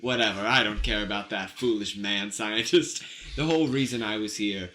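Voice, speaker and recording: Bright-voiced, theatrical mad lady scientist played by a man. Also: on the low side, moderate pace, slight background noise. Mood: impassioned. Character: zealous, eccentric female mad scientist, dismissive of men. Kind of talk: improvised comedic radio play acting.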